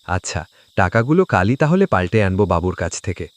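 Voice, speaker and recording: A man's voice: low voice, very animated, a little fast, no echo. A man playing a poor, hardworking village father, earnest, meek and polite, in a moral-story cartoon. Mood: hopeful.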